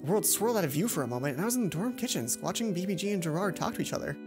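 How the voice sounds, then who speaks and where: A woman with a fairly low voice reads a visual novel aloud over clear background music. She hosts casually, slipping chatty, playful asides in between the narration. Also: lively intonation, rapid-fire. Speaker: let's play host. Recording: music behind the voice.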